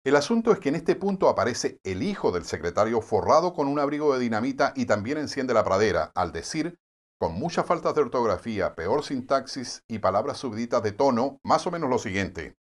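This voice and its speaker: A man's voice: medium pitch, very animated, brisk. Wry Puerto Rican male news commentator. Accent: Puerto Rican Spanish. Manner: wry, sardonic editorial delivery. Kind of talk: scripted news commentary narration.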